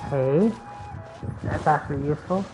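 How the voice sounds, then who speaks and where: A woman's voice: deep voice, moderate pace. A woman streamer giving casual, reactive gameplay commentary in short muttered asides. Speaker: game streamer. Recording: dull lo-fi mic, faint game music.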